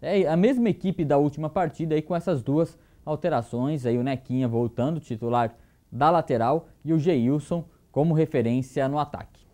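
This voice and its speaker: A man's voice: a little high, normal speed, dark tone. A man in his thirties, a sports presenter, giving a casual conversational report from a TV studio on a lapel mic. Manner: chatty, conversational.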